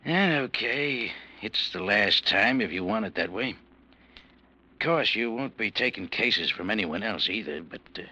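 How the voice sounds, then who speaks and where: An actor playing a menacing gangster in a 1940s radio drama, talking in a low, coldly threatening way. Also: male voice, lively intonation, measured pace, sharp tone, husky, exaggerated voice.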